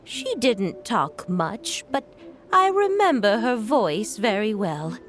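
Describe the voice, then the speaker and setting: A woman voicing a gentle, polite elderly grandmother in a video game, wistful and hesitant as she reminisces. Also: mid-range voice, big pitch swings, takes it slowly, soft background music. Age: older. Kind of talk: video game character acting.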